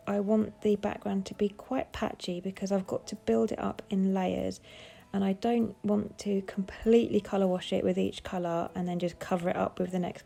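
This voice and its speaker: A woman with a cold, sounding bunged up, calmly explaining each step of her craft project in a casual tutorial voice-over. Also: medium pitch, creaky. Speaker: papercraft designer.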